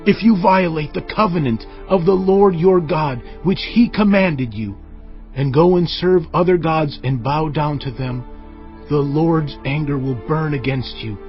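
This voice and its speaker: A man plays a stern leader of ancient Israel addressing his people in a dramatized scripture production. His voice sits fairly high for a man, with solemn, emphatic oratory. Music plays behind him, and the sound is slightly dull. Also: unhurried, lightly acted. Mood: grave warning. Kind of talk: dramatized audio Bible acting, solemn oratory.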